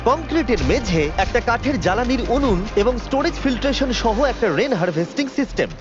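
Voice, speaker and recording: A man's voice: extremely high pitch, expressive, quick pace. A man reading a scripted documentary voiceover as the narrator of a dubbed video. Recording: muffled audio under music. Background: music.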